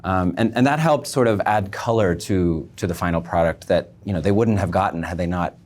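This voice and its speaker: A man speaking as an interview guest, explaining things in a measured way and often pausing mid-thought. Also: low-pitched, darkish tone. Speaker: guest, embassy public affairs officer. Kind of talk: thoughtful online interview answers.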